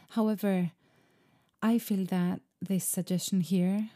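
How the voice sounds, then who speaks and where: Woman, native Greek speaker, musing monologue, very warm and mellow, lowish pitch. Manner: slow, meditative, musing delivery. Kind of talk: reflective spoken monologue.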